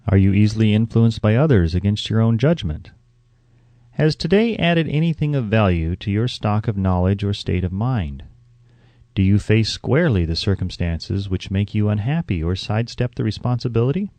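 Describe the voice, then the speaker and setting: A man reading a self-help audiobook in a measured, instructive way, with carefully phrased scripted narration. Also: on the low side, animated, mellow, no echo.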